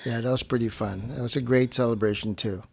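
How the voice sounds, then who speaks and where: A man tells stories in a casual, conversational way. His voice is average in pitch and he slips into acted-out quotes as he goes. The sound is dry, with little room echo. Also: steady tone, brisk, vocal fry, husky. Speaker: guest on partner's family show. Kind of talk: casual chat, anecdotal storytelling.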